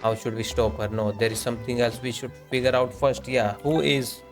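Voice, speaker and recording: A man's voice: medium pitch, steady tone, fairly quick. A man streaming a video game, giving casual, informal commentary in a teasing, joking way. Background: game music.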